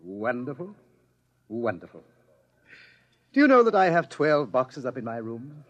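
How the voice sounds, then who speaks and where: An actor playing a suave, self-indulgent pursuer from the future in a vintage radio drama, urbane, leisurely and mocking. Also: male voice, mid-range voice, very animated, a little slow, fairly bright tone, highs cut off. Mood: gloating relish. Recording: old radio drama recording.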